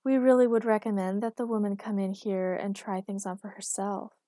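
A woman's voice: mid-range voice, level tone, fairly quick, mellow, no room sound, studio quality, lightly acted. A woman voicing a courteous, slightly uneasy female shop assistant in audiobook dialogue. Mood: polite, helpful. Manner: courteous, hesitant.

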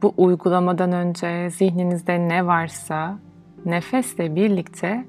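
A woman with a fairly low voice leads a guided relaxation meditation. She speaks in a soothing, measured manner, pausing between phrases, with music clearly behind her. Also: steady tone, darkish tone. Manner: slow, soothing, measured delivery with pauses. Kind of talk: guided yoga nidra meditation, instructional.

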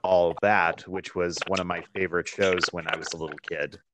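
A man's voice: sits low, steady tone, moderate pace, very noisy. A man in his thirties hosting a podcast, chatting easily and agreeably with a guest. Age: 30s. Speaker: podcast host. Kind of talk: casual chat.

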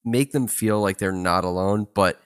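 Easygoing male host in his thirties, somewhat low voice, supportive and casual. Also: normal speed, clear, resonant tone. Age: 30s. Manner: laid-back, encouraging, says "man" often. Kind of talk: casual interview chat.

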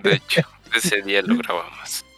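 A man's voice: a little high, huge pitch swings, moderate pace, vocal fry, sharp tone, faint music behind. A young man in his twenties with a Mexican accent, co-hosting a casual podcast with friends and often cutting in. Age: 20s. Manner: interjecting, pleading with friends. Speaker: podcast co-host. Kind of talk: casual chat among friends.

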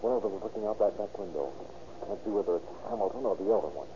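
Man playing a dark-voiced, watchful deputy. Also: lowish voice, fast, natural voice. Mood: tense. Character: watchful male deputy sidekick, tense. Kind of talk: 1930s radio crime drama acting. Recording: dull old radio recording, faint hiss.

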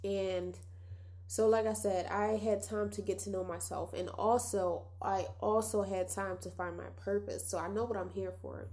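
A woman's voice: mid-range voice, steady tone, moderate pace, warm tone. Nasal young woman chatting on a podcast. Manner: chatty and reflective, addresses listeners as y'all. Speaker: podcast host. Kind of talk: casual solo podcast monologue.

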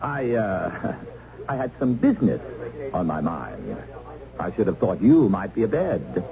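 Cold, suave gentleman, vintage radio drama: arch and lively in pitch, muffled sound. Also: slow, heavily acted. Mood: arch, evasive. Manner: arch, smooth, archaic phrasing. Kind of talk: old-time radio drama acting. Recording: muffled old radio, crowd murmur behind.

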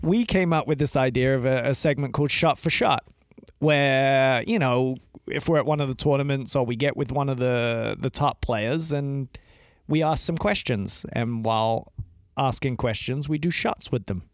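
A man's voice: medium pitch, normal speed, dry recording, studio quality. A man from Britain co-hosting a podcast in casual chat, blunt and swearing freely. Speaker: podcast co-host.

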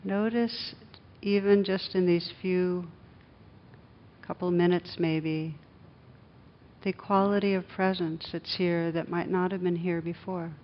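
A woman leading a guided meditation as the teacher, speaking in soothing, measured short phrases with pauses. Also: fairly even intonation. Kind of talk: guided meditation, slow instructive speech. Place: a room with a live group. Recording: dull lo-fi recording, clear background rumble noise.

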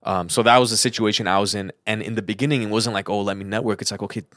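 A man's voice: fairly low pitch, steady tone, very fast, a bit bright, no echo, clean studio sound. A man co-hosting a podcast in casual, rambling conversation, repeating words for emphasis. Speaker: podcast co-host. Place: living in Los Angeles.

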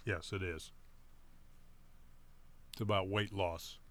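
A man's voice: low voice, steady tone, slow pace, a bit bright. A man co-hosting a casual chat, talking in a conversational way with short reactive comments. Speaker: talk show co-host.

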